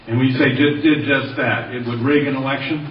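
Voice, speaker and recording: An American man formally questioning a witness at a hearing, with deliberate, lawyerly phrasing. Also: mid-range voice, fairly even intonation. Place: large indoor hearing room. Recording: roomy, distant, dull, faintly noisy hearing audio.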